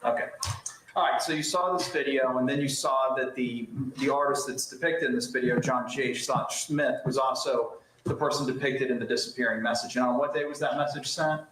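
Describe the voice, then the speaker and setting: A man's voice: medium pitch, steady tone, brisk, a bit bright. A man questioning a witness as a lawyer in a formal courtroom style. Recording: very echoey courtroom, faint noise.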